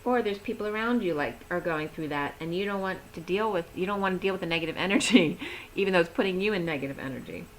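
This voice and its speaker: A woman giving a rambling, chatty tarot reading, talking with a voice that is going hoarse. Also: on the low side, fast, a little hiss or hum, poor audio. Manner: chatty, rambling, laughs easily. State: losing her voice. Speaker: tarot reader. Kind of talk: casual tarot reading to camera.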